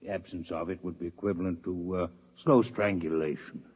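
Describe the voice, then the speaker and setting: A man playing a calm, formal male alien doctor from another planet in a 1950s radio drama, speaking with precise, unhurried diction. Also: sits low, husky. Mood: measured. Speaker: visiting alien scientist. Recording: dull lo-fi 1950s radio broadcast.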